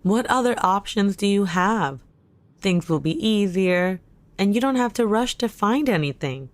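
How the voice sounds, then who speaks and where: An actress playing a supportive, practical young best friend in an audio drama, with a reassuring, casual way of talking. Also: female voice, mid-range voice, expressive, a little slow, lightly acted. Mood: casual, helpful. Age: young adult.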